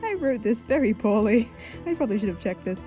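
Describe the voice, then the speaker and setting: Lively, high-pitched chatty woman host. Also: a little fast. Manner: chatty, self-mocking, enthusiastic. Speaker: podcast host and producer. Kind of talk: informal outro with credits. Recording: music clearly behind the voice.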